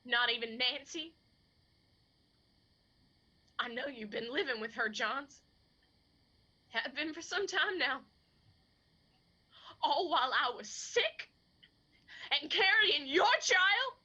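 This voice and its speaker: A woman's voice: high-pitched, expressive, slow, long pauses, bright, forceful tone, muffled recording, full character voice. An actress in a radio drama playing a wounded, bitter young woman with an Appalachian accent, speaking with anguished, folksy emphasis. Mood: tearful accusing. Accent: Appalachian American.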